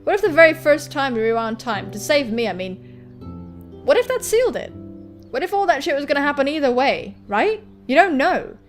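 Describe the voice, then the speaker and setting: A woman voicing a blunt, rattled young punk woman in a visual novel, slangy and sweary with halting phrasing. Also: high voice, very animated, soft background music. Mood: urgent, reassuring.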